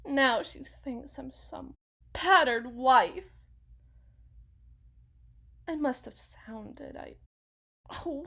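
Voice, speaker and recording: Casting: actress as fragile, self-blaming housewife and mother for audio roleplay; high voice, very unhurried, halting and defensive. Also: exaggerated voice. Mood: fretful, self-blaming. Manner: halting, rambling, self-correcting, sighing. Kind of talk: ASMR dramatic monologue roleplay.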